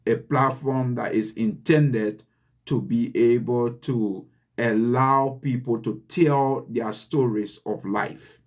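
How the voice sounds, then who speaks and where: Slow, earnest Liberian man. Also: medium pitch, a little mellow. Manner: earnest and deliberate, with repeated emphasis. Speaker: channel host. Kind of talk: motivational address.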